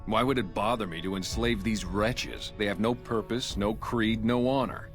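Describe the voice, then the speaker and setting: Actor as low-voiced, haughty slave master. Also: male voice, steady tone, moderate pace, faint music behind, close to an everyday voice. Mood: contemptuous. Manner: haughty, formal. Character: stern, contemptuous slave master, zealously devoted to his legion. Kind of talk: video game dialogue acting.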